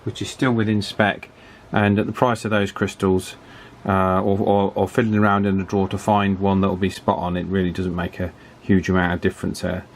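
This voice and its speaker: A man giving a casual, chatty hands-on repair commentary in an unhurried way, as if thinking aloud. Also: sits low, little pitch movement, a little hiss or hum. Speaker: radio repair hobbyist presenting his work.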